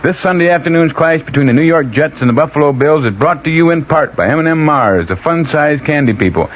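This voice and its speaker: A man's voice: on the high side, animated, fairly quick, soft background music. A man announcing a scripted sports broadcast promo on the radio. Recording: dull old radio with faint noise.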